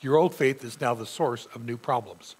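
A man's voice: mid-range voice, normal speed, husky. A middle-aged male pastor with a sore throat, preaching earnestly from a church pulpit. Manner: earnest preaching, reading scripture aloud with emphasis. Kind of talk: sermon. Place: church sanctuary.

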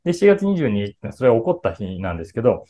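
Middle-aged man, lively swinging intonation. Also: medium pitch. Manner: explanatory, conversational, with frequent pauses to search for words. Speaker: voice actor and actor talking to his fan club. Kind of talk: casual film talk to an online audience. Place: small indoor room with a patterned wall, video call.

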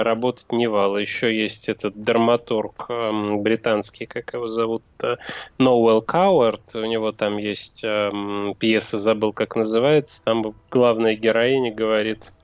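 A man co-hosting a casual gaming chat who tells stories in a chatty way, with halting restarts. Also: fairly low pitch, no room sound, muffled recording. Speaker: co-host of a gaming news show.

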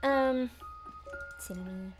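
A woman's voice: fairly high pitch, takes it slowly, faint music behind. A middle-aged woman giving casual, nervous, rambling commentary on a horror game, sometimes dropping to a whisper. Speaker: game streamer and voice actress. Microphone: desk mic set slightly away from face.

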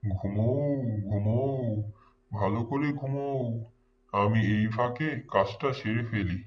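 A man's voice: lowish voice, slow pace, distant mic. Man acting a scheming cartoon father, slightly muffled. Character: scheming, irritable father, later repentant. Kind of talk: Islamic moral cartoon voice acting.

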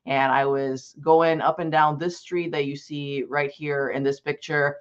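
A man's voice: a little high, level tone, unhurried, clean tone. A young man from Bulgaria tells students a personal story during an online presentation. He is earnest and conversational and often restarts his sentences. His audio comes through a dull, lo-fi video call line. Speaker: advocacy specialist presenting to students.

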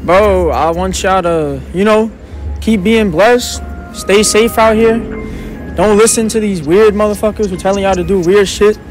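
A man's voice: unhurried, faint music behind. A man, talking in brash, repetitive slang in a casual street interview. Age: 20s. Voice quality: high-pitched. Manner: slangy, brash, repetitive, animated hand-talker. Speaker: interview guest, rapper and comedian. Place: outdoors in a city park with people around. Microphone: phone held at chest by interviewer.

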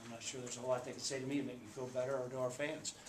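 Flat-voiced man in an echoey press room. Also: mid-range voice. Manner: measured, halting, many fillers and restarts. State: subdued after a loss. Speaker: basketball coach. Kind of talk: postgame press conference. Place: small press room indoors. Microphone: table mic. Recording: echoey room, faint background hum.

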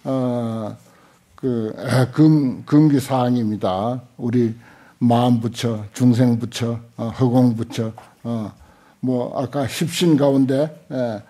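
An older man giving a Buddhist scripture lecture, halting often with small interjections and repeating points for emphasis. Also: medium pitch, fairly even intonation, mellow. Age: older, 70s or above. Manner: unhurried, halting with frequent interjections, emphatic repetition. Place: indoor lecture hall with seated audience. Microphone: desk stand microphones.

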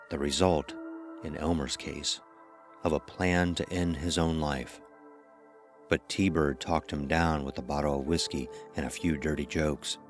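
A man narrating a scripted horror story as the narrator of an audio drama. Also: rumbling low.

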